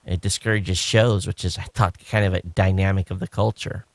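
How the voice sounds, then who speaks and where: A man with a fairly low voice co-hosts a casual podcast chat, rambling along with plenty of "you know"s. The sound is dry and close, with no room echo. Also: fairly quick, creaky. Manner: relaxed, rambling with frequent fillers. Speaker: podcast co-host.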